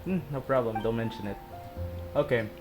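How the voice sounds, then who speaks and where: A gaming video host giving casual, chatty and expressive commentary while playing. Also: mid-range voice, normal speed. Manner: expressive, chatty, reacting aloud to the game. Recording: loud game music behind. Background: video game music.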